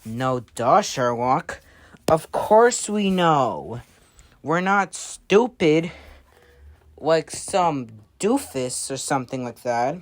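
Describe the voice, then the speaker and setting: A woman playing a laid-back, doubtful dude with a slangy, halting delivery in improvised amateur dialogue. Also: low-pitched, animated, pitched below the performer's own voice, full character voice. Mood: sarcastic.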